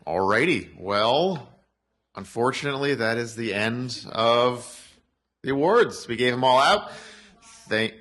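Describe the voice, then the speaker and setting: A man's voice: medium pitch, animated, unhurried, a bit bright. A man emceeing an awards night, upbeat and loose, ad-libbing with lots of fillers. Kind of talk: event emceeing. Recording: faint crowd noise behind.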